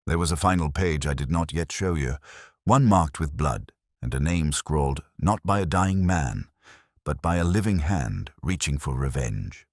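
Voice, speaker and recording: A male narrator voices a shrewd, composed detective in a mystery story. He is very deep in pitch, measured and probing, with marked rises and falls and a dry sound. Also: lively intonation, dry recording, exaggerated voice. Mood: resolute, brooding.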